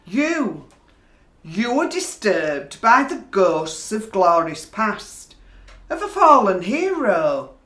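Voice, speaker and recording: A woman's voice: medium pitch, very animated, slow pace, fairly bright tone. A woman playing a pointed, analytical questioner who challenges someone in a radio play. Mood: analytical, insistent. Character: probing, analytical female questioner challenging an obsessed man. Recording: roomy, echoing voice recording.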